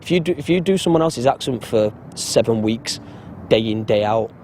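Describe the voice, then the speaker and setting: Joking young Mancunian actor. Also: male voice, medium pitch, lively intonation, normal speed, a little mellow, slight background noise. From Salford, Manchester. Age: 20s. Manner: joking, rambling anecdotes. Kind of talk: casual interview chat.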